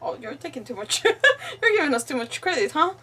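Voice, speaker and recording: A woman's voice: high voice, huge pitch swings, a little fast, sharp tone, far from the mic. A young woman from Mexico, a native Spanish speaker, chatting casually and bubbly as she streams a game. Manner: bubbly, rambling, giggly. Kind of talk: casual livestream chat while playing. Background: game music.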